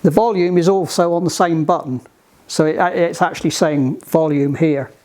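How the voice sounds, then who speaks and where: A man giving hands-on instruction to a group in a halting, deliberate, emphatic way, on an amateur recording. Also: fairly high pitch, fairly even intonation, warm tone. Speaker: sailing club instructor giving radio training.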